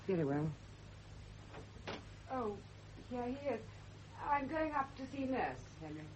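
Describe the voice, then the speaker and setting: An actress in a radio drama playing a composed, subtly manipulative young gentlewoman, gentle and solicitous in manner. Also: a little high, very animated, a little slow. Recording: dull, noisy old radio recording.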